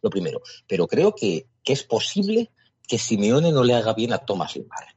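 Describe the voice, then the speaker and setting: Husky guy, low voice, lively pitch, dull dry lo-fi sound, hedges a lot. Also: dry recording. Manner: measured, qualifies his opinions carefully. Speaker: podcast co-host. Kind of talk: casual chat.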